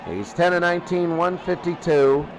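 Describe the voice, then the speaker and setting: A man's voice: high voice, expressive, moderate pace, some chatter behind, a little hiss or hum, rough recording. A man calling live sports play-by-play as an announcer, speaking emphatically and repeating phrases for effect.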